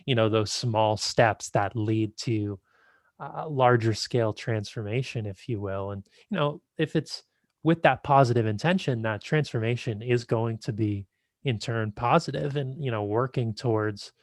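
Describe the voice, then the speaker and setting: A man's voice: mid-range voice, unhurried, no echo, studio quality. A man of twenty-five hosting a podcast, chatting in an easygoing, agreeable way with loose fillers. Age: 20s. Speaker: podcast host. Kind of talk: casual interview chat.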